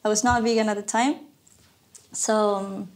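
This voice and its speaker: Lively woman from Ecuador telling her story. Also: mid-range voice. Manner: lively storyteller, eager and quick. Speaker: guest, animator. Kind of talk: casual interview chat.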